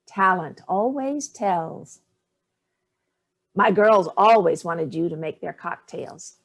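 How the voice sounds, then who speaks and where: A woman's voice: fairly low pitch, lively intonation, takes it slowly, heavily acted. An actress playing Sallie, a dry, cutting older mother fond of cocktails, in a staged play reading. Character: Sallie, sharp-tongued hard-drinking older mother.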